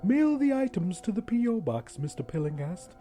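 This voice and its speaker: A man's voice: high voice, very animated, moderate pace, mellow. A man voicing a weird, frog-like little man who recruits for a secret party, speaking formally and probingly in an audiobook story, with music behind him. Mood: secretive, businesslike. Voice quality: weird, odd voice.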